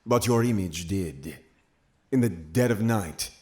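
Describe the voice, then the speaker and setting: A man's voice: low-pitched, animated, slow, a little mellow. An actor playing a formal, probing witch-trial interrogator in an audio drama, accusatory in manner. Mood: formal accusing.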